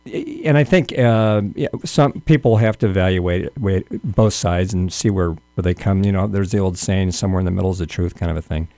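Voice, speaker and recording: A man's voice: sits low, brisk, few pauses, warm tone, no room sound. A man hosting an interview, asking long, rambling questions full of fillers and restarts. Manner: rambling, hesitant, many fillers. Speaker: radio interview host. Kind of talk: conversational interview.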